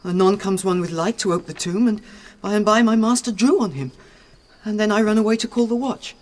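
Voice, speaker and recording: A man's voice: sits high, fairly quick, a little mellow, slight background noise, lightly acted. A male performer playing a young, obedient, frightened page boy giving his account in a classical radio drama. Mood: frightened, hurried.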